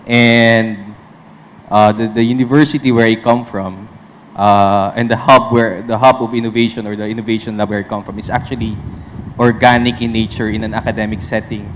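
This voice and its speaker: A man's voice: on the low side, fairly even intonation, moderate pace. Filipino man, panelist, hesitant delivery, faint hum in the background. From Philippines. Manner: hesitant, many fillers. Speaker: panelist, innovation lab representative. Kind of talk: conference panel talk.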